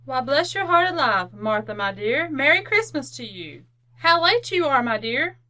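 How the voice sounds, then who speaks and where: Bright, high-voiced bustling mother. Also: sing-song, normal speed, full character voice. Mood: fond, bustling. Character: bustling, fond, outspoken working-class mother. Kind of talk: old-time radio drama acting.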